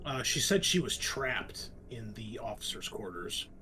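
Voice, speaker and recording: A man running a tabletop roleplaying game as game master, narrating conversationally with halting pauses. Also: mid-range voice, sing-song, pushed and bright, soft background music.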